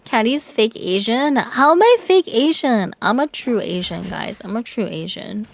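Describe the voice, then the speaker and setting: A young woman streaming a game, chatting casually with her chat, swearing and yelling in excitement now and then. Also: on the high side, normal speed, creaky, no room sound. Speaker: VTuber streamer. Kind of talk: casual gaming livestream chat.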